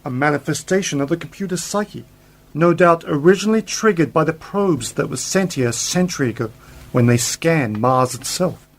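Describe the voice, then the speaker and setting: A man's voice: a little high, lively intonation, moderate pace. A man acting as a brilliant, confident space-travelling scientist uncle in a radio drama, explaining things in an assured, theatrical way. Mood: excited, assured. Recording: noticeable background noise.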